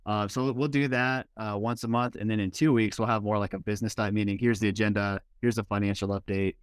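A man's voice: on the low side, fairly even intonation, fast talker, clear, resonant tone, no echo, clean studio sound. A male guest pastor explaining plainly and practically in a casual podcast conversation.

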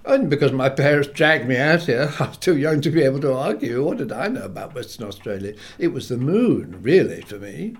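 Older English-born composer, rambling anecdotal interview guest, animated, mid pitch. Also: male voice, a little fast, hardly any pauses. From England. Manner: rambling, animated, acts out quoted voices. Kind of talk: reminiscing interview.

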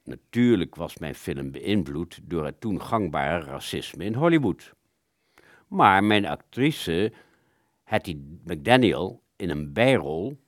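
Man acting a deep-voiced, aggrieved ghostly film director. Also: dry recording, studio quality. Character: aggrieved, wordy ghost of an old-time Hollywood film director. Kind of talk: radio play acting.

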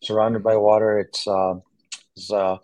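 A man in his forties, born in Greece, speaks with a fairly low voice. He talks casually as an interview guest, stopping often on fillers and restarting sentences. The sound is close and dry. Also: level tone, a little mellow, dry recording. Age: 40s. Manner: halting, many fillers and restarts.

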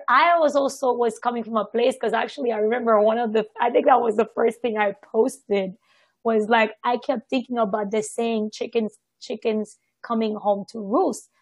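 A woman from Haiti giving a casual, reflective personal account, halting and often circling back to correct herself. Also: a little high, slightly rough, no room sound. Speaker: participant sharing personal experience in a group discussion.

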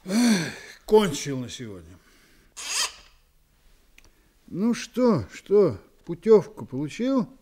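A reflective journalist narrating a radio drama. His tone is mellow and relaxed, his pace very slow. He sounds wistful and deliberate. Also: high-pitched, breathy edge, exaggerated voice. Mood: friendly. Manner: pensive, deliberate. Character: reflective male journalist-writer, wistfully remembering his friend.

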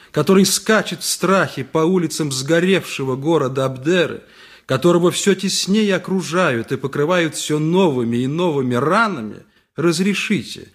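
An actor playing a poor hunted talking donkey, pleading courteously in a husky voice in a radio drama. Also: male voice, on the high side.

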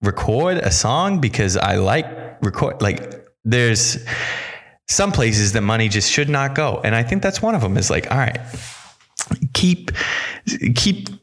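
A man with a mid-pitched voice hosts a podcast alone, speaking in an affected accent. He rambles and muses out loud, often breaking off and restarting his thoughts as he goes. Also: very animated. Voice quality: affected accent put on over his normal voice. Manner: halting, self-interrupting, casually musing. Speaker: podcast host. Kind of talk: rambling solo podcast monologue, thinking aloud.

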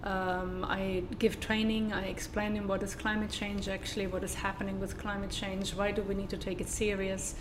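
A woman with a German accent speaks as an interview guest in a flat, even tone with a little background noise. She talks hesitantly, filling pauses and restarting her sentences. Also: mid-range voice. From southern Germany. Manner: hesitant, many fillers and restarts. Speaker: guest, sustainability consultant. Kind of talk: casual interview.

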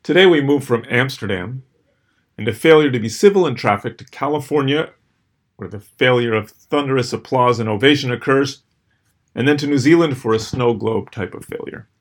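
A middle-aged man reading scripted personal stories as host, with a dry, wry, measured delivery and deliberate pauses. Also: mid-range voice. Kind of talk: scripted first-person storytelling.

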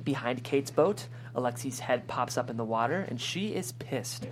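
A woman reading screenplay stage directions as lively action narration, punching out the dramatic effect words. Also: very deep voice, normal speed, a little hiss or hum.

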